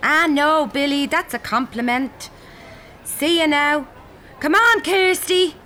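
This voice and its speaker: Breezy Irish woman in radio drama; very high, sharp-edged voice, teasing. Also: slow pace, heavily acted. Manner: breezy, colloquial. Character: breezy, teasing female acquaintance passing by. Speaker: actor in an audio drama.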